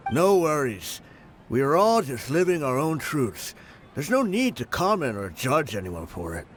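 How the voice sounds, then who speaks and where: Earnest mercenary man, lively intonation. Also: fairly high pitch, unhurried, slight background noise. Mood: resigned, earnest. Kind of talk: video game voice acting.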